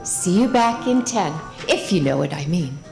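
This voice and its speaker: A woman's voice: on the low side, animated, normal speed, vocal fry, over music. A woman playing a chummy club announcer, giving a comic intermission announcement. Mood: cheerful, chummy. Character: club announcer with chatty upper-class verbal tics.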